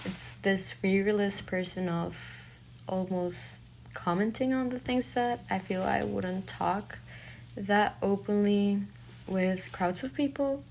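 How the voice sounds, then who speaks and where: A woman's voice: mid-range voice, a little mellow, a little hiss or hum, low-quality recording. A young woman with a Colombian accent, a native Spanish speaker, talking informally and reflectively about herself as an artist. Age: young adult. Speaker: artist and fine arts student. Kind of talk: informal self-introduction monologue.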